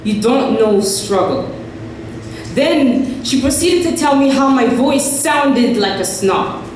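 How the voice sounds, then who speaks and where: A woman's voice: lively intonation, slow, slight background noise. A woman from Haiti, whose first language is French, performing spoken word poetry with rhythmic, emphatic delivery. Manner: rhythmic, emphatic recitation, higher and more forceful when reciting. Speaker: poet. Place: large echoey festival hall. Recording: echoey hall recording from far off.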